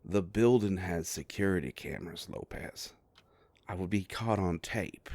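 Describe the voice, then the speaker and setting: A man playing a sardonic, grumbling werewolf private investigator with a soft heart, in an audio roleplay drama. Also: measured pace, no echo, full character voice. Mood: exasperated, defensive. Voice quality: low gravelly voice.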